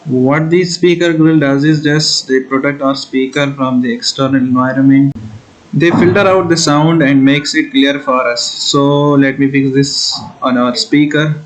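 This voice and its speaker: A man's voice: mid-range voice, fairly even intonation, a little slow, low-quality recording. A man narrating a hands-on tutorial casually, explaining and thinking aloud as he works. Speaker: host of a DIY electronics build video.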